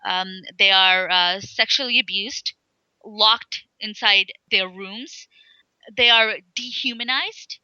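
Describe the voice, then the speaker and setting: A young woman from Sri Lanka, an interview guest speaking in a halting way with many fillers and restarts. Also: medium pitch, bright, forceful tone, clean tone, no room sound, highs cut off. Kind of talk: casual interview talk.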